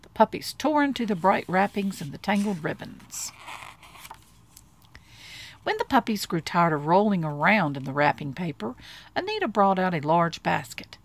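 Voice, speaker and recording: A woman reading a bedtime storybook aloud in an expressive storytelling style. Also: lowish voice, normal speed. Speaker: storybook reader.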